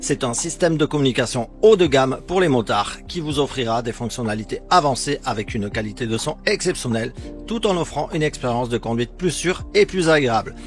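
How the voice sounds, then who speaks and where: A man reads a scripted product comparison as a presenter, in a measured, explanatory sales style. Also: medium pitch, animated. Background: background music.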